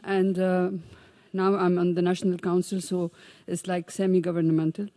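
A middle-aged woman from Egypt speaking from the floor at a conference, with many pauses, fillers and restarts. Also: on the low side, level tone, warm tone. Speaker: audience member, parent advocate. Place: large conference room. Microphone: desk microphone.

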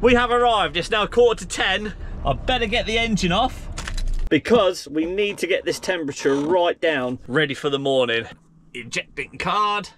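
A man, chatting excitably as he vlogs from a truck cab on a handheld camera. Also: high-pitched. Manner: chatty, excitable, quick exclamations. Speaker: truck driver vlogger. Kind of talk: casual vlog commentary. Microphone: handheld action camera. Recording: engine and road noise behind.

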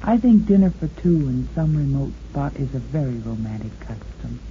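A woman playing a sultry, devoted sweetheart in a 1950s radio monologue, low-voiced, speaking intimately and seductively to the listener. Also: normal speed. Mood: dreamy, romantic. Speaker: radio show host. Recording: tinny, noisy 1950s radio recording.

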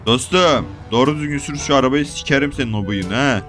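A male gaming streamer with an average-pitched voice trash-talks other players. He is crude and taunting, swearing constantly in casual game banter, with music clearly behind him. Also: a little fast, a bit bright. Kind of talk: profane banter during online roleplay gameplay.

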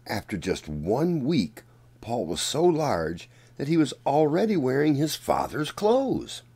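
Male children's story narrator, unhurried and deliberate, with lively swings in pitch. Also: husky. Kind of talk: read-aloud storybook narration.

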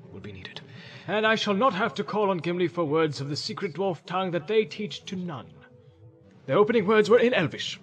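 Storybook old wizard, played by a male reader: proud, testy, commanding, swooping forceful delivery. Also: medium pitch, natural voice. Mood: brooding, proud.

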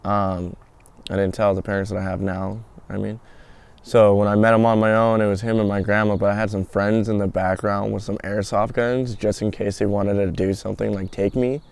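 A man's voice: fairly low pitch, flat delivery, moderate pace, gravelly, darkish tone, clean tone. A man in his early twenties casually telling his own story in an outdoor interview. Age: early 20s. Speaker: documentary interviewee. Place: outdoors in a garden.

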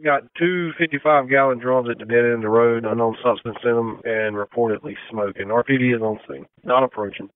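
A man's voice: medium pitch, fairly quick, few pauses. A man working as a fire dispatcher, relaying information to units over the emergency radio. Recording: dry, narrowband radio channel.

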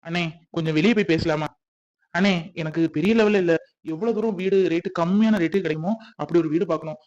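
A man's voice: fairly high pitch, fast, darkish tone, lightly acted. A young adult man playing a protective young man who soothes his partner and keeps checking that she agrees. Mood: polite, pleading. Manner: soothing, insistent, repeats "okay?". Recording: dull lo-fi audio.

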